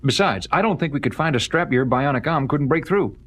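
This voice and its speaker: An actor playing a composed government official in a 1970s audio drama, speaking in a firm, measured and authoritative way. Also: male voice, on the high side, lively intonation, a bit bright.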